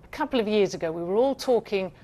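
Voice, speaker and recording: A woman presenting a television business programme in a clear, measured, emphatic broadcast style. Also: medium pitch, lively intonation, mellow. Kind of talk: broadcast presenting, scripted narration and panel introductions.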